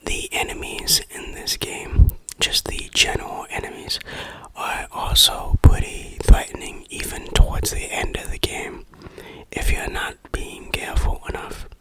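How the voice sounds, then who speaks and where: A person whispering an informal ASMR-style review in a breathy, unvoiced, measured voice. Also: poor audio. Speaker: game reviewer and ASMR host.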